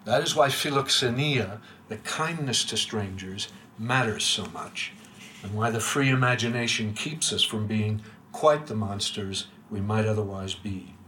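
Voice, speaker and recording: Measured older man, bright tone. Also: fairly low pitch, slight background noise, poor audio. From United States. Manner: measured and literary, dropping quiet at line ends. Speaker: speaker giving a lecture. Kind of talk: reading a prepared reflective talk. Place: room with a live audience.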